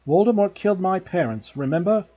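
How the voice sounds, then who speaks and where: A man's voice: high-pitched, animated, a little fast, lo-fi sound, pitched above the performer's own voice. A man voicing a determined young schoolboy who speaks in an urgent, earnest way. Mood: defiant.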